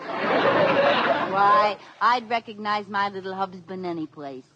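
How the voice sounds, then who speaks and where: An actress playing a chatty, meddling young wife who plays matchmaker, in 1940s radio comedy style with a giggly, coaxing delivery. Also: female voice, medium pitch, slow pace, muffled recording, over a noisy crowd, close to an everyday voice. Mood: bubbly. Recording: noisy old radio broadcast.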